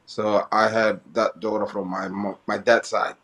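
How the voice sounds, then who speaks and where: A man from Somalia, a guest in an interview telling his life story, often halting and repeating phrases as he goes. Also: lowish voice, little pitch movement, a bit bright.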